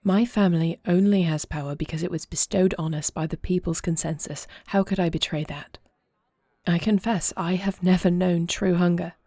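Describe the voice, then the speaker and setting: A woman playing an earnest young princess and war leader in an audio roleplay, speaking formally in solemn, measured phrases. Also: on the low side, fairly even intonation, warm tone, no echo, clean studio sound. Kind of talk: scripted audio roleplay acting.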